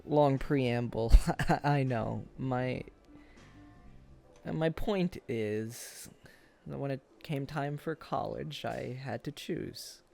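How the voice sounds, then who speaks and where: A man playing a wistful, self-deprecating former high school sweetheart in an intimate, halting boyfriend ASMR roleplay. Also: vocal fry, a little mellow, soft background music. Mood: wistful, amused. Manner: hesitant, halting, chuckles through confessions.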